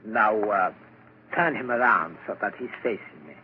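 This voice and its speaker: An actor playing a composed, authoritative doctor in a 1940s radio drama, measured and deliberate. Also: male voice, on the low side, close to an everyday voice. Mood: probing. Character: composed, authoritative male doctor of mental patients. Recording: dull, noisy old radio recording.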